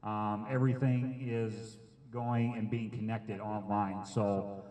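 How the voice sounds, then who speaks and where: Low-voiced man, recorded at a distance. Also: little pitch movement, big-room sound. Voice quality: loud, carrying voice. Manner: hesitant, plain-spoken, pausing often. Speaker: sheriff's detective giving a public safety presentation. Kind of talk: informal public presentation. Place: meeting room with an audience. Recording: amplified microphone, distant room pickup.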